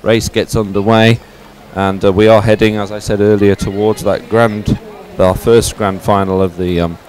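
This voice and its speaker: A man giving live sports commentary as presenter, speaking in a halting, hesitant way. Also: lowish voice, little pitch movement, darkish tone.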